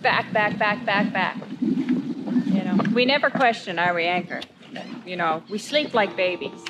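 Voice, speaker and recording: A woman's voice: medium pitch, a little slow, noisy background. A middle-aged woman chatting casually to a handheld camera outdoors as a travel vlogger. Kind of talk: casual vlog chat. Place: outdoors on boat, paths and open beach.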